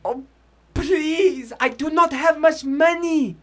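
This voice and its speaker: An actor playing a timid old immigrant fight manager, pleading haltingly in 1940s radio style. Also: male voice, very high-pitched, fairly bright tone, heavily acted. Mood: anxious pleading. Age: older.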